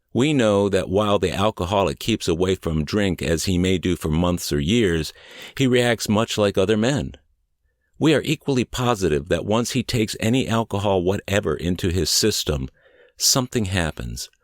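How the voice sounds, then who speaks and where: A man reading scripted audiobook narration in a measured, deliberate, clearly phrased way. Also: low voice, no echo, clean studio sound. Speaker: audiobook and podcast reader.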